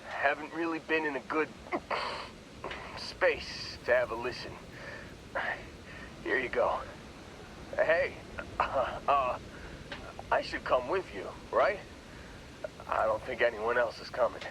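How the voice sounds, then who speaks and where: An actor playing a groggy young space miner in a video game, talking in a wry, rambling, hesitant way. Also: male voice, medium pitch, animated, slow pace, long pauses, background noise. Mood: sheepish, wry. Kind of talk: video game character dialogue.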